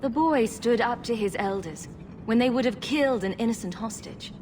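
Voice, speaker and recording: A woman playing a calm, resolute champion in a fantasy game, composed and diplomatic. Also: on the high side, normal speed, noisy background, close to an everyday voice. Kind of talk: fantasy video game acting.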